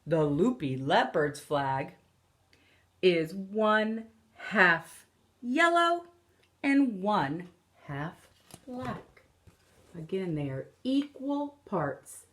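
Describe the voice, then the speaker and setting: A woman in her thirties, an elementary teacher, reading a picture book aloud as a lesson for children, explaining deliberately and repeating key phrases. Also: medium pitch, big pitch swings, a bit bright. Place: living or dining room at home, indoors.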